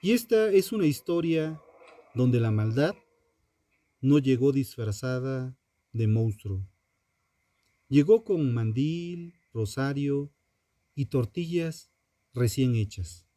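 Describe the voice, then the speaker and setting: A man narrating scripted true crime in a solemn, deliberate, dramatic way, pausing between short phrases. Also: medium pitch, lively intonation, dark tone, dry recording.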